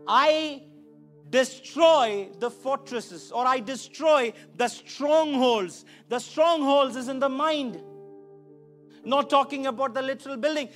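Male pastor preaching on a church stage, really high voice, emphatic, music behind him. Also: a bit bright. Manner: emphatic, repeats phrases for emphasis. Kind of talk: church sermon. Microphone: handheld microphone.

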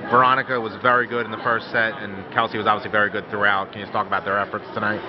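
A man's voice: on the low side, flat delivery, very fast. A man working as a reporter, asking a coach questions after a match, with a scripted intro and casual follow-ups. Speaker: student reporter. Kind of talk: press interview questions. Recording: lo-fi with background noise.

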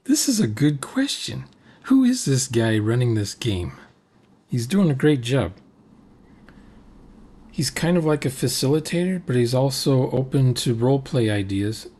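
An older man with a husky voice giving casual reaction commentary on a roleplaying game. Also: mid-range voice, sing-song, unhurried, darkish tone. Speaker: video host commenting on a game recording.

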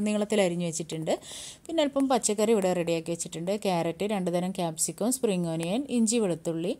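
A woman narrating a home cooking tutorial at a rapid clip, her voice sitting at an ordinary middle pitch. She explains each step plainly and briskly, like a host talking her viewers through the recipe, with English words woven into her speech. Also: darkish tone.

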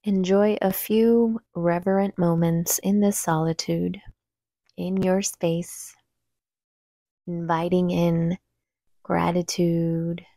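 A woman guides a meditation in a slightly low, mellow voice. Her delivery is calm and very slow, giving each gentle instruction room to settle. The sound is dry and close, free of room echo. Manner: calm, soothing, unhurried instruction.

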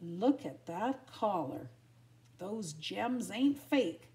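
A woman voicing scruffy, streetwise male dogs in a storybook, teasing in slangy, drawn-out speech. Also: medium pitch, animated, warm tone.

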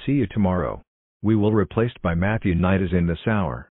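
A man's voice: low-pitched, normal speed, dry recording, phone-quality sound. A man reading scripted television announcements as a channel announcer and newsreader.

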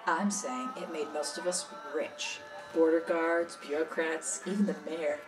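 A jaded woman smuggler acted by a woman tells her story in an audio drama interview. Her voice is low and worldly, matter-of-fact and cynical. She sounds a little far from the mic, with music clearly behind her. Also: steady tone, measured pace. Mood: wry, matter-of-fact. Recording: slightly distant, music behind.

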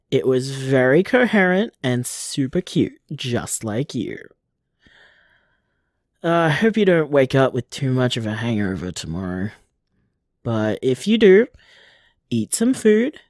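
A man voicing an affectionate young man who leaves a soft, rambling late-night voicemail for his boyfriend in an audio drama. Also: medium pitch, no room sound, clean studio sound.

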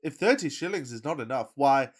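An actor playing a pompous, lovestruck rich young gentleman, melodramatic and self-regarding, in a farcical radio play. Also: medium pitch, expressive, brisk, slightly rough. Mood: pompous, persuasive.

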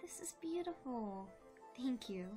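A gentle young cartoon pony princess played by a woman. She is fairly high and takes her time, sounding kind and calm, with soft music underneath. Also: measured pace. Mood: touched.

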